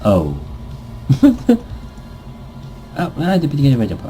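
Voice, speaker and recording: A man's voice: mid-range voice, wildly swooping pitch, a little slow, dark tone, soft background music. A man hosting a let's-play, reading a visual novel aloud and adding playful, goofy improvised asides in a casual gaming commentary style.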